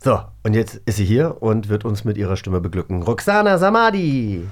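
A man co-hosting a magazine show in casual chat, jokey and quick to interject. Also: lowish voice, expressive. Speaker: podcast co-host.

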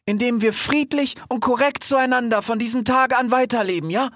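A man's voice: very high voice, moderate pace, a bit bright, dry recording, clean studio sound, pitched above the performer's own voice. A man voicing a small, earnest, hesitant animal in a storybook, stammering and ending sentences with questioning tags.

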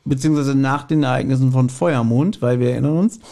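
A man with a mid-pitched, soft-edged mellow voice co-hosts a casual podcast. He explains details conversationally and teases his friends drily. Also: brisk. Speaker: podcast co-host.

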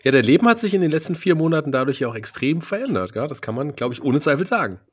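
A man hosting a podcast, introducing and interviewing in a lively, informal way. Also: on the high side, quick pace, husky. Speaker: podcast host.

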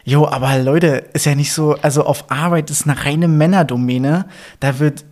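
A young man with a Berlin accent co-hosting a casual podcast, relaxed and chatty, with lots of fillers and little chuckles. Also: on the high side, moderate pace. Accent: Berlin German. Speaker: podcast co-host. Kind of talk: casual couple podcast chat.

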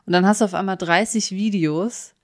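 A woman's voice: mid-range voice, brisk, no echo, studio quality. A woman chatting casually as a podcast co-host. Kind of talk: casual chat.

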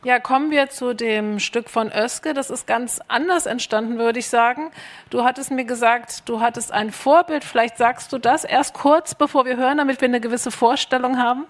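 Higher-voiced woman moderating a panel. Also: normal speed. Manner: appreciative, asks guests open questions.

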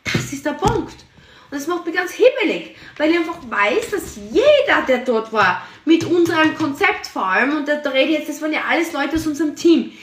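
An energetic woman gives a motivational talk in a high, shrill voice and hammers her points home with repetition. She sits a little away from the microphone. The room around her is echoey. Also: a little fast, recorded from a distance, a little hiss or hum. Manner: energetic and emphatic, piles up repeated words. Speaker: podcast host and sales team leader.

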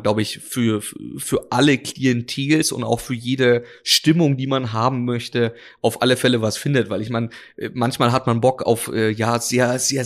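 Chatty man rambling with fillers. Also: medium pitch. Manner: rambling, many fillers and restarts. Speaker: drinks brand ambassador, guest. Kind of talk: casual interview chat.

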